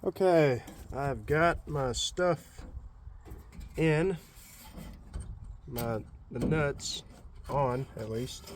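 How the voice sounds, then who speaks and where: A man's voice: mid-range voice, extremely slow, pauses often, a little hiss or hum. A man giving a casual, unscripted hands-on DIY walkthrough, thinking aloud as he goes. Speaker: DIY vlogger. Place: in and around a pickup truck.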